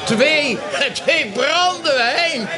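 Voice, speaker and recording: An actor playing a fussy, eager merchant in a period radio play, flustered, stammering and repeating himself. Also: male voice, very high voice, animated, drawn-out words, over loud music, full character voice. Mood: courtly, surprised. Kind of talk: Dutch radio play acting. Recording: radio play with busy tavern chatter.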